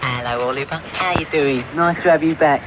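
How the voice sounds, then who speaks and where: Actor as a cocky young thief. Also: male voice, a little high, brisk, background noise, close to an everyday voice. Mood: needling. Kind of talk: radio drama acting.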